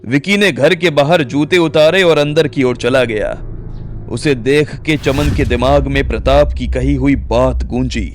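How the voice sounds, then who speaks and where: A man's voice: medium pitch, unhurried, slight background noise. A man narrating a scripted audio drama story as its storyteller. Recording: music bed under the voice.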